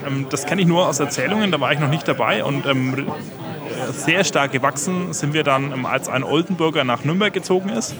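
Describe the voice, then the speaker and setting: A man's voice: fairly high pitch, fairly quick. A man with a Franconian German accent, answering interview questions casually and hesitantly, with many filler sounds. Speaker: guest. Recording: loud crowd chatter behind.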